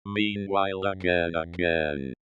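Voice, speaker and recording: A man's voice: low voice, fairly even intonation, takes it slowly, a bit bright, smooth, no room sound, lo-fi sound. A man playing a pompous, self-important artificial gnome who narrates and fancies himself a podcasting expert in a comedic audio skit. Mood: grandly narrating.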